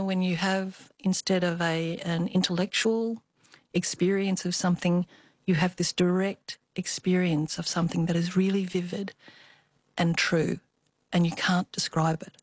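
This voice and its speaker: An older woman with an Australian accent, telling personal memories as an interview guest in a thoughtful, expressive way. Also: lowish voice, no echo. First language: Cantonese. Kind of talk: reflective personal storytelling.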